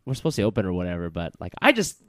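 A man's voice: low-pitched, huge pitch swings, racing, a bit bright, dry recording, studio quality. A man born in Japan, a guest in a casual chat, talking in a laid-back, rambling way with lots of fillers.

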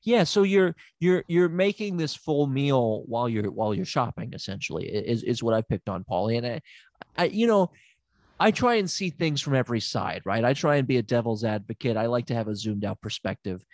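A man's voice: mid-range voice, expressive, a little fast, darkish tone, dry recording, lightly acted. A man playing a smug, unqualified therapist who rambles and stammers in an improvised comedy sketch. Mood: probing. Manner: rambling, stammering, self-assured.

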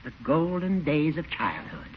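An actor playing a crotchety old small-town judge in an old radio comedy, theatrical and declamatory. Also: male voice, fairly high pitch, very animated, unhurried. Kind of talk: 1940s radio sitcom acting. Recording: muffled, noisy old radio recording.

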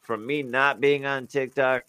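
A man's voice: mid-range voice, fairly even intonation, a little slow, bright, forceful tone, clear, resonant tone, no room sound. A man co-hosting a podcast, chatting casually and rambling with lots of fillers and restarts. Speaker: podcast co-host. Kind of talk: casual chat.

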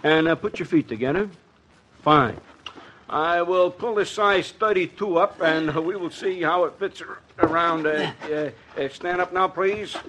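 Coaxing, slangy American salesman, highish voice. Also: measured pace, fairly bright tone, slight background noise. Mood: obliging. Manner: coaxing, slangy, punctuated with uhs. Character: smooth-talking, slangy male department-store salesman, eager and coaxing. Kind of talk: 1950s radio comedy acting.

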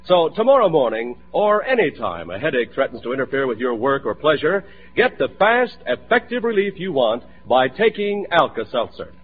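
A man's voice: mid-range voice, big pitch swings, normal speed, breathy edge. An American man announcing a commercial in 1940s radio style, with a hearty, persuasive sales delivery. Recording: tinny, thin vintage radio recording.

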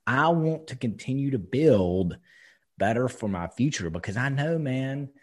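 A man in his thirties speaks with a husky, slightly breathy voice. He talks in a casual, emphatic way, swearing now and then as he gives advice. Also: medium pitch, expressive, unhurried, a little mellow. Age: 30s. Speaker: podcast co-host. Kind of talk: casual chat.

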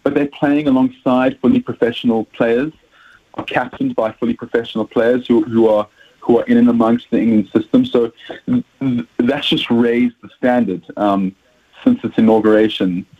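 A man's voice: medium pitch, fairly even intonation, moderate pace, slightly rough. South African man on a tinny phone line. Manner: hesitant, repeats words while thinking. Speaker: rugby journalist guest. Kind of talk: radio interview answers.

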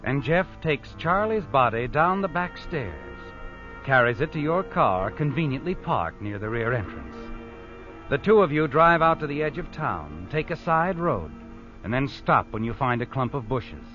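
Casting: male mystery narrator, 1940s radio, insinuating, big pitch swings, dull archival sound with music behind. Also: big pitch swings, measured pace, sharp tone. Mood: knowing, ominous. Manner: insinuating, dramatic. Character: knowing, accusing male narrator addressing the culprit. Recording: muffled old radio recording.

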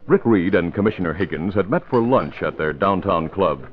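A man's voice: deep voice, expressive, normal speed. A man announcing and narrating in dramatic, emphatic 1940s radio style, on a muffled old broadcast recording.

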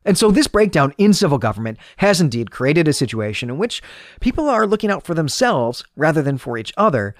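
A man speaks in a slightly high voice that rises and falls in a lively way. He delivers literary analysis as a podcast host, articulate and explanatory, much like a lecturer working from an outline. The sound is close and dry, with little room around it. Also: a little fast, dry recording. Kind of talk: literary analysis talk, semi-scripted monologue.

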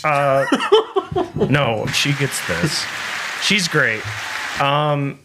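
A man in his forties hosting a casual movie podcast, stammering and animated, joking as he talks. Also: medium pitch, drawn-out words, over loud music, poor audio. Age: 40s. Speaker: podcast host.

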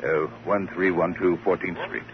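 Casting: man playing fussy, self-important clerk, deep voice, precise officious delivery, dull vintage radio drama sound. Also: fairly even intonation, moderate pace, close to an everyday voice. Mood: self-satisfied. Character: fussy, self-satisfied male baggage clerk. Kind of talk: 1950s radio crime drama acting. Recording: noisy, muffled vintage radio recording.

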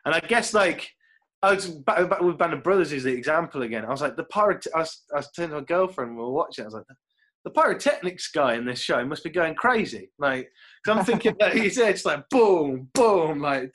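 A young man from Britain chats loosely about acting, jumping between half-finished thoughts and mimicking what people said. His voice sits a bit higher than most men's. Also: animated, fairly quick, fairly bright tone. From United Kingdom. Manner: rambling, laughing, acts out quotes. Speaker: actor guest. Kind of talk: casual chat.

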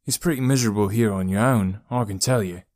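A man's voice: lowish voice, fast talker, darkish tone, no echo, close to an everyday voice. A man voicing a mischievous, chatty young boy in an audiobook, with a teasing, breezy, wry manner. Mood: friendly. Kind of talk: audiobook character voice.

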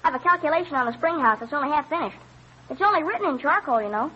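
A woman's voice: high-pitched, lively intonation, very fast. A woman playing an eager, polite farm boy in an old-time radio drama. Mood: eager, earnest. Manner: earnest, polite. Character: eager, bright farm boy who loves figuring. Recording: dull vintage radio recording.

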